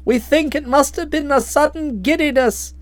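A woman voicing a bluff, hearty middle-aged English aunt who speaks loudly and bluntly in an audiobook. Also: moderate pace, no room sound, lightly acted. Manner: loud, booming and blunt.

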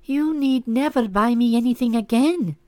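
A man's voice: very high-pitched, normal speed, a little mellow, dry recording, voice pushed up. A man reading aloud voices a troubled young wife, raising his voice into earnest, pleading questions.

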